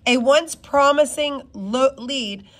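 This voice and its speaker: A woman reading a children's novel aloud in an expressive, informal way, sometimes stumbling over words. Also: high voice, slow pace. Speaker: reader of a children's book.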